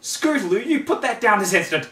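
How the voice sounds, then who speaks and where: A man's voice: sits high, very animated, fairly quick, pushed and bright, pitched above the performer's own voice, heavily acted. A man voicing a refined, fussy female unicorn with genteel, dramatic manners in a fan story reading. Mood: snapping. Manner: genteel, dramatic, formal wording. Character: refined, fussy lady unicorn, polite but quick to snap. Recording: roomy, distant mic, faint noise.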